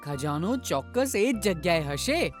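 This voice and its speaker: A woman's voice: lowish voice, big pitch swings, measured pace, faint music behind. A woman voicing a clever, observant child in a children's cartoon story, eagerly explaining things to friends.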